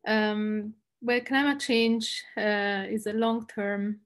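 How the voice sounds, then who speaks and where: A woman's voice: medium pitch, fairly even intonation, takes it slowly. A young woman from northern Italy with an Italian accent, explaining as a guest speaker on an online panel. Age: young adult. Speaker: guest speaker, environmental law specialist. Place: indoors, room at home. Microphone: video call, laptop distance.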